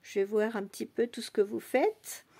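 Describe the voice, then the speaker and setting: An English-born woman talking casually in a vlog, speaking slowly and going back and forth between English and French. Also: a little high, creaky, slightly rough. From England. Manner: soft, unhurried, switches between English and French. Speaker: doll collector vlogger. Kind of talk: casual bilingual vlog chat.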